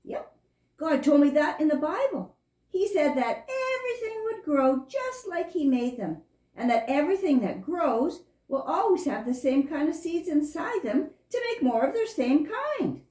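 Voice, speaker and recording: A woman's voice: high voice, very animated, moderate pace, mellow. A woman voicing a friendly, chatty young female skunk in a children's puppet show, earnest as she explains things. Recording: roomy, echoing room sound.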